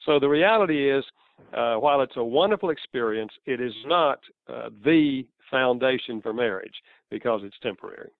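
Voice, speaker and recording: A man's voice: medium pitch, expressive, moderate pace, gravelly, no echo. A man with a Southern US accent, an author answering interview questions in an earnest, explanatory way, chuckling now and then. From American South. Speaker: author guest. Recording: tinny phone-like line, dry.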